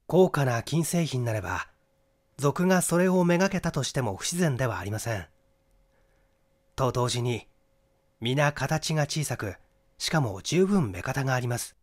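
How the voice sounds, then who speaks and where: A man voicing a clever, confident young amateur detective who explains his deductions methodically in a mystery audiobook. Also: a little high. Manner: explanatory, methodical, rhetorical questioning. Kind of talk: Japanese mystery audiobook acting.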